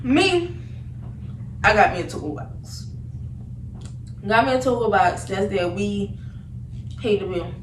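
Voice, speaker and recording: A young woman tells a story in a casual, animated way. Her talk is slangy and loops back on itself as she retells things. She is a little far from the mic in an echoey room, with clear background noise. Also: medium pitch, takes it slowly, long pauses. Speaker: vlogger telling a story. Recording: roomy, distant mic, noticeable background noise.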